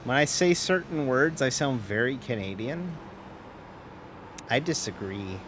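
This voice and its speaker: A man at a home streaming setup, talking to his viewers while he races. His voice sits at a middle pitch and he rambles loosely, trailing off and circling back. A faint hum runs underneath. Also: lively intonation, fairly bright tone. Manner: rambling, self-interrupting, quick to laugh. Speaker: sim racing streamer. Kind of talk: casual live-stream chat while racing.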